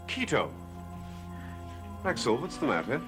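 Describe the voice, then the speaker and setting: An actor playing a brooding, courteous master of a manor house in a gothic soap opera, earnest and dramatic. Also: male voice, medium pitch, huge pitch swings, unhurried. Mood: concerned. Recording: music behind the dialogue.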